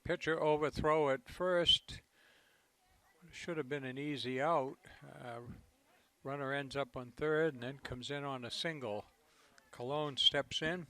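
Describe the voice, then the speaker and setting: A man calling a local baseball game as play-by-play commentator, relaxed and conversational, with a voice that is starting to go. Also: measured pace. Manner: low-key, conversational calls. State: losing his voice.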